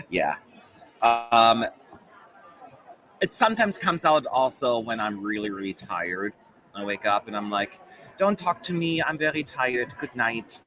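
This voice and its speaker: A man's voice: mid-range voice, big pitch swings, takes it slowly, pauses often, bright, forceful tone, rough recording. A man in his forties, German-born and living in America, with a slight German accent under mostly American speech, chatting casually as a live-stream host with many fillers. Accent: German, mostly American-sounding.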